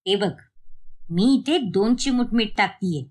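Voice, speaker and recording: A woman playing a scolding, exasperated middle-aged mother in an animated moral story, with a sharp, nagging manner. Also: mid-range voice, measured pace. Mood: instructing.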